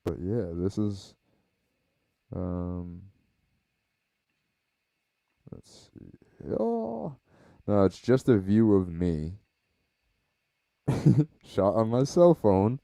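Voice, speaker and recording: A man talks low and easy, the way you do when someone is sleeping nearby. His voice sits deep and the sound is dry and close, with no room around it. He rambles casually, as if thinking aloud. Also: mellow, no room sound. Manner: rambling, laid-back, talking low. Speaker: podcast host. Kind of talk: casual reflective chat. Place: home, baby sleeping nearby.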